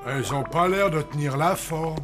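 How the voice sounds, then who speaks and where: A man's voice: on the high side, normal speed, close to an everyday voice. A man voicing a hapless, bluntly tactless kitchen assistant in a cartoon, artless and matter-of-fact. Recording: music behind the voice.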